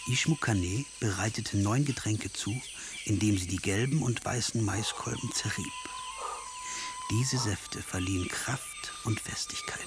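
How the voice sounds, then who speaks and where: Deep-voiced male narrator with widely swinging intonation. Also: huge pitch swings, a little slow, noisy background. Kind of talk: scripted myth narration in a radio play. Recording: music behind the voice.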